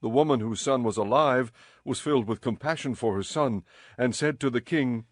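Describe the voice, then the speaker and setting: A man narrating an audio Bible in a measured, formal storytelling style. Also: medium pitch, no room sound, clean studio sound.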